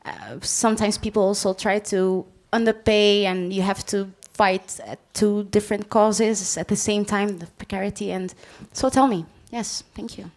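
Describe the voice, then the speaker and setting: A woman's voice: mid-range voice, steady tone, normal speed, hardly any pauses. A woman with a Belgian Dutch accent moderating a live panel, laughing easily and talking loosely, on a handheld mic in a cafe. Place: cafe of an arts venue. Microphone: handheld microphone.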